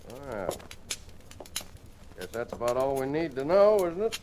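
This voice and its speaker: Cool knowing cop, medium pitch, animated intonation, unhurried pauses, old-time radio drama. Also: male voice. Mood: matter-of-fact. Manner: laconic, casual, with drawn-out pauses. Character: wry, unhurried police lieutenant, coolly knowing. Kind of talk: 1940s-50s radio thriller acting. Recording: noisy vintage radio drama recording with clicks.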